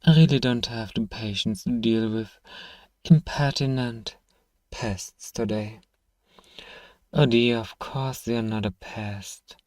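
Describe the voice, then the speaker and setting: Man playing a slow-talking, irritable fantasy shopkeeper. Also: medium pitch, darkish tone, dry recording, natural voice. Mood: condescending, weary. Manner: exasperated, condescending, sighing, dry sarcasm. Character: irritable, haughty, sarcastic male fantasy apothecary shopkeeper. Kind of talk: scripted ASMR roleplay monologue.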